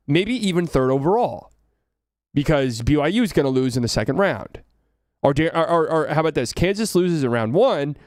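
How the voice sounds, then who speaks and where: A man's voice: on the high side, darkish tone, no room sound, clean studio sound. A man from Texas with a light Texan accent, hosting a casual solo sports talk show, rambling, joking and thinking aloud. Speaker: podcast host.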